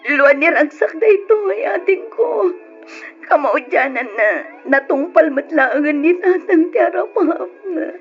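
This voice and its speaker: A woman playing a devoted, lively eldest daughter in an Ilocano radio drama, with emotive, expressive delivery. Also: sits high, measured pace. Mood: tearfully joyful. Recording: dull lo-fi radio recording with music.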